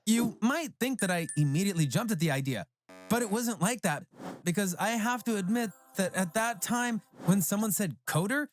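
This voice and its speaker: A narrator reading a scripted first-person story for an animated tale. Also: mid-range voice, moderate pace. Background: background music.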